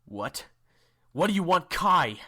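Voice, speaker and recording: Guy playing an angry hero in an audio drama, raspy and tense, bitter, confrontational. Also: a little high, sing-song, slow pace, bright, forceful tone, full character voice. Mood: outraged. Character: angry, defiant male hero facing his enemy. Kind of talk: audio roleplay drama acting.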